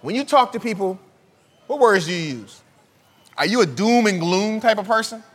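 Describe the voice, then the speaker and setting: A man's voice: sits high, lively intonation, moderate pace, in a large hall. A man preaching a sermon, conversational and full of rhetorical questions to the congregation.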